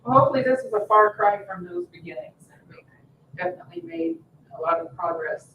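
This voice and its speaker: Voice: mid-range voice, big pitch swings, fairly quick, pushed and bright. Distant, roomy voice chairing a meeting. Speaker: board member chairing the meeting. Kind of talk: formal public meeting discussion. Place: indoor public meeting room. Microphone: distant room microphone. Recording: roomy, distant, muffled mic, faint noise.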